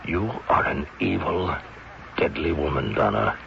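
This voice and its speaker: A man playing a steady, grave friend with a measured delivery in a 1970s radio drama. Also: a bass voice, creaky, exaggerated voice. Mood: stern, accusing. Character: steady, grave friend who exposes a murder plot. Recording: muffled, hissy 1970s radio recording.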